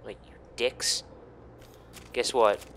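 Bright-voiced man doing sweary game commentary. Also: medium pitch, fairly even intonation, takes it slowly, slight background noise. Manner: sweary, self-congratulating, exclamatory. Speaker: gaming video host. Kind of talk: casual gameplay commentary.

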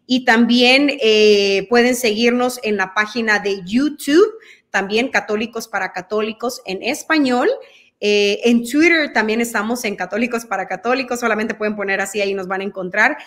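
A woman's voice: fairly high pitch, normal speed, a bit bright. A woman in her thirties from El Salvador, speaking Spanish with a Mexican-sounding accent, talking earnestly on a video call as a guest, with many fillers and restarts. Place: dim room at home. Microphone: video call laptop mic.

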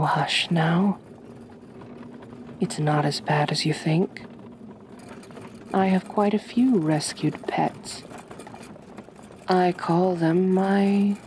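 Woman playing a slow, genteel vampire mistress. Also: lowish voice, slight background noise. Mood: gentle, reassuring. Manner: gentle, measured, genteel, reassuring. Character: kind, possessive aristocratic female vampire mistress. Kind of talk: ASMR roleplay, soft soothing delivery.

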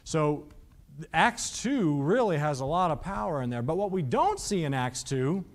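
A man's voice: fairly high pitch, sing-song, normal speed. A man in his thirties preaching a Bible teaching on a studio stage, reading verses aloud and asking the listeners rhetorical questions. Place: studio stage with painted backdrops.

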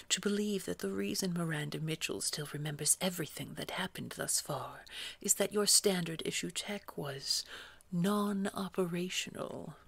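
A woman acting as a scary, condescending boss in an audio roleplay, speaking formally and precisely in a breathy voice. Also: lowish voice, exaggerated voice. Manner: cold, precise, scolding, formal wording. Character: scary, condescending female boss at a secretive organization. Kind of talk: scripted audio roleplay drama.

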